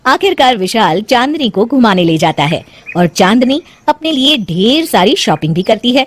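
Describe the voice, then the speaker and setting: A woman reads scripted story narration as the narrator. Her voice is fairly high and the recording is close and dry, with no room around it. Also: very animated, normal speed, husky, no room sound.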